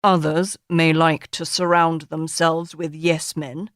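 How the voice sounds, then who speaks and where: A woman's voice: deep voice, level tone, slow pace, dry recording, studio quality. A woman voicing a pompous, grandiose middle-aged male tycoon in an audiobook, speaking in a declaiming, orotund manner.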